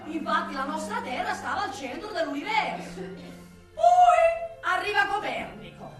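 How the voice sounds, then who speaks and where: A woman's voice: very high-pitched, sing-song. Woman playing a volatile murderous man, very echoey. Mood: fervent, ironic. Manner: volatile, swinging from murmurs to shouts, drawn-out words. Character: unsettling, darkly ironic man confiding murderous thoughts. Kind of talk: theatrical radio monologue. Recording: very echoey, distant, music behind.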